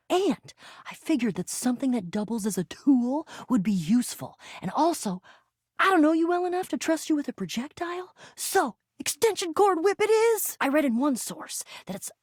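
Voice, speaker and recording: High, animated scrappy rapscallion adventurer. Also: female voice, normal speed, breathy edge, no echo, clean studio sound, exaggerated voice. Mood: earnest, excited, then eager, chatty. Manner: animated, wisecracking, theatrical. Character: scrappy, sarcastic young female rapscallion adventurer. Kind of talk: video game character dialogue.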